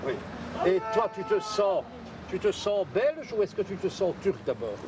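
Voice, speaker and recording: A man's voice: high voice, sing-song, normal speed, crowd noise. A man interviewing passers-by on a noisy city street, blunt and insistent. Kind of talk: probing vox-pop interviewing.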